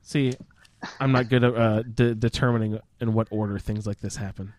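A man's voice: on the low side, fairly even intonation, moderate pace, darkish tone, no echo. A man hosting a casual, informal roundtable discussion about a video game. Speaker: podcast host.

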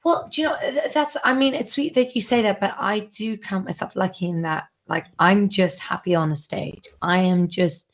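A man's voice: high-pitched, normal speed, hardly any pauses. A man hosting a podcast, talking casually and rambling with many restarts. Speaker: podcast host. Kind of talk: casual chat. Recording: dull lo-fi audio.

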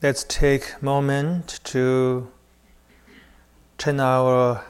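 Very slow, calm male meditation guide. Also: mid-range voice, smooth. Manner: slow, with long pauses between phrases. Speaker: meditation teacher.

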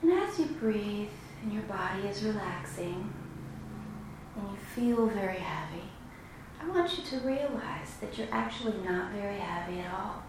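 A woman guiding a meditation in a slow, soothing, instructive way with long pauses. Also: mid-range voice, expressive. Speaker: meditation guide. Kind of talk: guided meditation. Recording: very echoey room, clearly noisy background.

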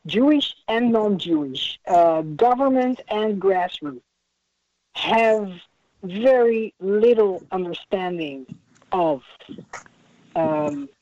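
A speaker in a radio interview, talking in a measured, halting way with many restarts. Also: mid-range voice, a little mellow. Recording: muffled lo-fi radio audio.

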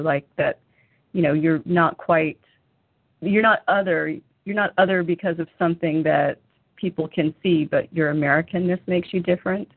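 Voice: mid-range voice, dry recording. A podcast host asking questions in a casual interview and sharing personal stories. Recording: phone-like remote call.

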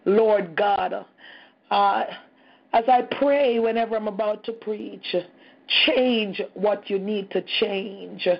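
A woman's voice: medium pitch, steady tone, takes it slowly, vocal fry. A woman leading a fervent intercessory prayer on a group call, speaking with intense passion and returning to "oh God" between her phrases. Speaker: prayer leader. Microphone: phone or conference call. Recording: muffled lo-fi call audio.

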